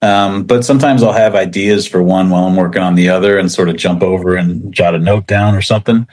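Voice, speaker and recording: A man's voice: low-pitched, steady tone, fairly quick, a little mellow. A man talking casually as an interviewed writer, rambling with lots of filler words and a wry, self-deprecating manner. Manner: rambling, frequent "like" and "you know". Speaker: comic book writer being interviewed.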